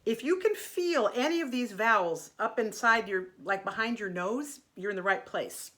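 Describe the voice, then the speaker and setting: Bright, lively woman teaching voice. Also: a little high, moderate pace. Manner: gentle, chatty, demonstrating vocal sounds. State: slightly congested from allergies. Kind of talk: online singing lesson.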